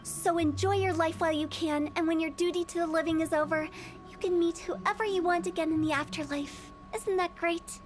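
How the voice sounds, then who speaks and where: A woman's voice: very high voice, fairly even intonation, fairly quick, faint music behind. A woman voicing a playful, eccentric young funeral parlor director in a video game, with a mischievous, theatrical and breezily morbid manner. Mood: cheerfully morbid.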